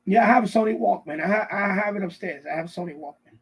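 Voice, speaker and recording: A middle-aged man with a notably high voice that has a husky, rough edge. He chats casually as a live stream host, wandering from thought to thought and circling back to repeat himself. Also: quick pace, fairly bright tone. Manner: rambling, repeats himself often. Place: basement.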